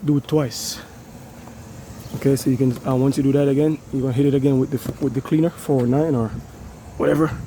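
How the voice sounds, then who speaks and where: A hoarse man who has lost his voice, casually explaining an air conditioner cleaning while he works outdoors. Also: medium pitch, steady tone, fast, background noise. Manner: loose, repetitive, talks while working. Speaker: HVAC technician. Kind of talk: casual how-to demonstration.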